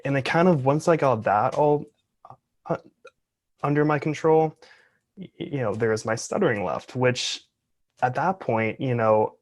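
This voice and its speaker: A man's voice: mid-range voice, a little slow, long pauses. Young man who stutters heavily. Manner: stutters, with sound repetitions and blocks; open, earnest. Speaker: interview guest. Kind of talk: casual interview talk.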